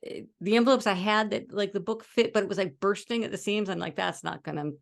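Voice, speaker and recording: A woman hosting a casual chat, effusive and informal, with halting phrasing. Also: medium pitch, gravelly. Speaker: host, author.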